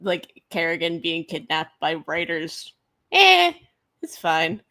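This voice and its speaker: Lower-pitched woman in casual book chat. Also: very animated, takes it slowly, sharp tone. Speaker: book discussion co-host.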